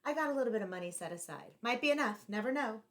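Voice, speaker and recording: A woman voicing a kindly, practical grandmother in a children's storybook, gentle and plain-spoken. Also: fairly high pitch, lively intonation, fast talker. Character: kindly, practical grandmother raising a young boy.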